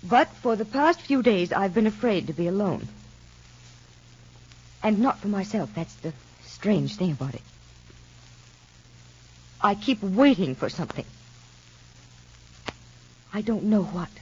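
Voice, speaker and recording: A woman's voice: mid-range voice, expressive, measured pace, pauses often, slightly rough. An actress playing an anxious young mother in a 1940s radio soap, talking in a hesitant, worried way. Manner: hesitant, worried, soft-spoken. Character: anxious young mother fearing for her son. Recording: dull lo-fi old radio recording.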